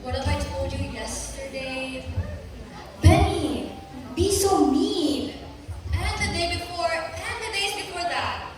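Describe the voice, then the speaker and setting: A teenage girl acting a sharp-tongued young woman who wants to be a doctor, teasing and defiant on a school stage. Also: very high voice, slow, a crowd in the background. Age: teens. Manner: teasing and defiant, draws out words. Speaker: student actor. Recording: echoey hall, far from the mic, noisy.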